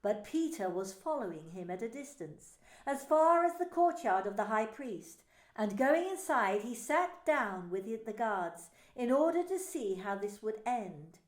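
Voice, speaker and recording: A woman minister narrating scripture in an expressive, deliberate way. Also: fairly high pitch. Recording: echoey room.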